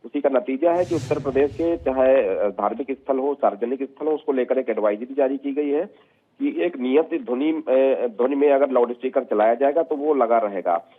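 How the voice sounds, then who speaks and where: A man giving a live, unscripted news report as a field reporter, halting and repetitive with stock phrases. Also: medium pitch. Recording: tinny phone-like live link.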